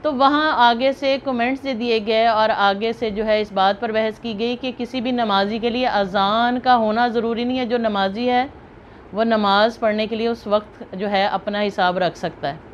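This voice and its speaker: A woman's voice: a little high, fairly even intonation, normal speed. A woman with a Pakistani accent giving earnest, emphatic, unscripted commentary to viewers, often rephrasing as she goes. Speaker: video host and commentator. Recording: muffled lo-fi, clear background noise.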